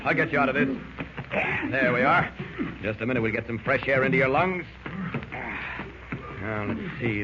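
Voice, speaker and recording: An actor playing a heroic, resolute superhero, urgently thinking aloud in 1940s radio serial style. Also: male voice, medium pitch, close to an everyday voice. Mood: urgent, reassuring. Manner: dramatic, urgent self-narration. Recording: noisy, dull old radio recording.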